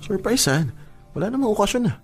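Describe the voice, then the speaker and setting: Expressive, swooping young Filipino boyfriend. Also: male voice, sits high, fairly quick, gravelly, faint music behind, full character voice. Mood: affectionate, curious. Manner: emotive, expressive. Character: hurt but loving young boyfriend, earnest. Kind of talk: Filipino radio drama acting.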